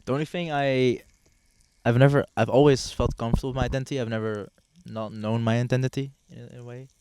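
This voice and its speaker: A young man from the Netherlands chatting casually as a podcast guest outdoors at a barbecue, relaxed and hesitant, with lots of ums and restarts. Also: medium pitch, fast talker, smooth, no room sound. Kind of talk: casual chat.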